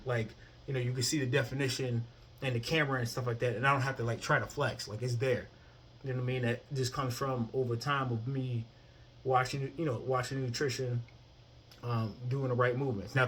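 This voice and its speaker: Guy in his 30s chatting about his workouts, kinda husky voice, easygoing and repeats himself a lot. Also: medium pitch, sharp tone. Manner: conversational, repeats "you know what I mean". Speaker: fitness vlogger. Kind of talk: casual talk to camera.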